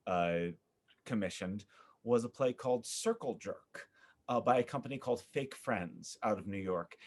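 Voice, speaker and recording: Male podcast guest, mid-range voice, reflective, stop-and-start conversational delivery. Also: medium pitch. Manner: thoughtful, halting, repeats words as he searches for phrasing. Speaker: podcast guest, theater maker. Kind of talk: conversational interview talk about theater.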